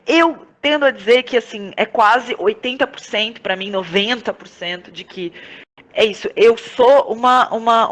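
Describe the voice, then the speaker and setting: A woman's voice: medium pitch, a bit bright, low-quality recording. A Brazilian woman speaking as an interview guest, reflective and conversational, repeating words as she searches for her thought. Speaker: podcast guest, playwright and performer.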